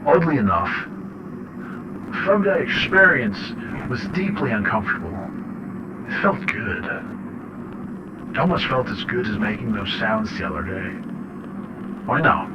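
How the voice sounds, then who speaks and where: A man playing an unsettled father who records a horror audio diary, speaking in deliberate, reflective and halting phrases. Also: fairly low pitch, very animated. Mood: uneasy, confiding. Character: unsettled father recording eerie journal notes. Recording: muffled, noisy radio-like audio log.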